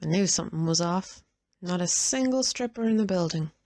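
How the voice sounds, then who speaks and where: An actress playing a dry, sardonic crew member in an audio drama. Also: female voice, on the low side, animated, brisk, a little mellow.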